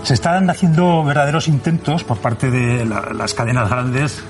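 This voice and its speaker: A man's voice: mid-range voice, steady tone, moderate pace, faint music behind. A man with an accent from Spain, an amateur radio actor answering interview questions in a conversational way.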